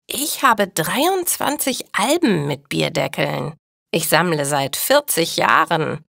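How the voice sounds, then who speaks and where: A woman voicing an eager, talkative elderly neighbour in a slow storybook dialogue for learners. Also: deep voice, expressive, creaky, a bit bright, no echo, clean studio sound, full character voice. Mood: excited, friendly. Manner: enthusiastic, talkative.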